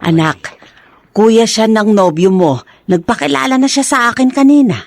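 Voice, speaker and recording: A woman's voice: fairly high pitch, normal speed, natural voice. An actress playing a practical, doubting middle-aged mother in a radio drama, with a homely, fussing manner. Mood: calling. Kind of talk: Filipino radio drama acting.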